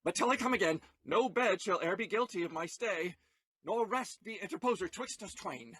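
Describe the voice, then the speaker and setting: Man acting earnest young lover, Shakespearean audio play, declamatory, animated high voice, dry close sound. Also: moderate pace, slightly rough, no room sound, heavily acted. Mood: sorrowful, tender. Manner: theatrical, declamatory. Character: Bassanio, earnest young gentleman lover, distraught for his friend.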